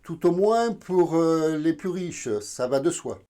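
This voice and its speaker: Man, from southern France, educational narrator, slightly high pitch, warm relaxed tone, hesitant didactic phrasing. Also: slow. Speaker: video channel host.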